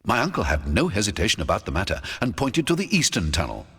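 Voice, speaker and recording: A man narrating a scripted first-person adventure audiobook in a dramatic, measured storytelling manner. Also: lowish voice, wildly swooping pitch, a bit bright, husky. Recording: long hall-like reverb.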